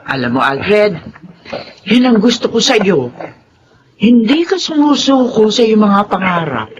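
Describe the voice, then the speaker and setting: A woman's voice: mid-range voice, very animated, measured pace, background noise. A woman playing a sympathetic older neighborhood woman in a Filipino drama, with an emotional, dramatic delivery. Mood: warm concern. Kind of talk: Filipino radio drama acting. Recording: dull lo-fi audio.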